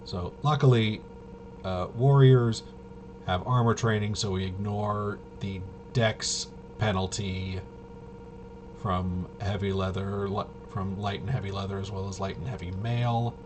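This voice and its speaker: A man giving a casual, off-the-cuff rules walkthrough as a tabletop game reviewer, in a halting, mumbling way. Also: fairly low pitch, fairly even intonation, over music. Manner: halting, mumbling through half-read rules. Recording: clearly noisy home recording.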